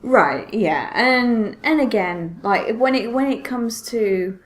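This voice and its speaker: A woman's voice: fairly high pitch, expressive, slow pace. Chatty woman repeating herself in film talk. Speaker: podcast co-host. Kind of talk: casual conversation about films.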